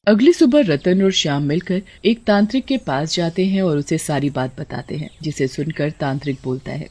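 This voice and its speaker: A woman narrating a scripted story in an expressive storybook style. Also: low voice, normal speed.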